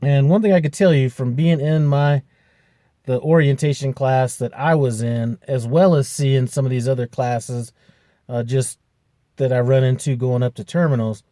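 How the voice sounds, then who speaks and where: A man's voice: mid-range voice, fairly even intonation, moderate pace, warm tone, no echo, clean studio sound. A man in his fifties, a truck driver, chatting casually to camera in a rambling way with lots of fillers and restarts. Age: 50s. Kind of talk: casual vlog chat.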